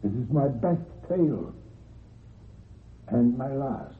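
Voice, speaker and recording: An actor playing a stern, controlling old grandfather who speaks deliberately and insistently in a radio drama. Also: medium pitch, lively intonation. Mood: grave, commanding. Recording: tinny narrow-band old radio recording.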